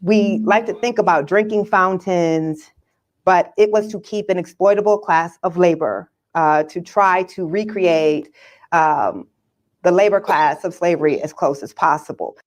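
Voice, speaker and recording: A woman giving explanatory commentary in conversation, articulate and emphatic, with measured pauses. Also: on the low side.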